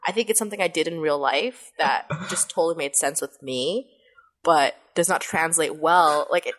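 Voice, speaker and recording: A woman's voice: sits low, normal speed, a bit bright. Chatty woman with a kid-like voice. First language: Mandarin. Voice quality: youthful, kid-like voice. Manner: chatty, rambling, laughs often. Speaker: podcast host and voice actress. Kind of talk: casual podcast chat.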